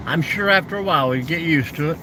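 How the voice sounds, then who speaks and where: A man's voice: fairly high pitch, fast, noisy background. An older man hosting a casual live stream, answering viewer comments in an easygoing, folksy way and reminiscing about the old days.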